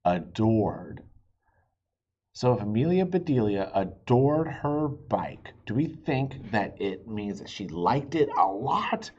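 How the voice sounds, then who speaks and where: A man reading a children's storybook aloud expressively, pausing to chat with young listeners and ask them questions. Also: lowish voice, big pitch swings, darkish tone, breathy edge, muffled recording. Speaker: children's read-aloud host.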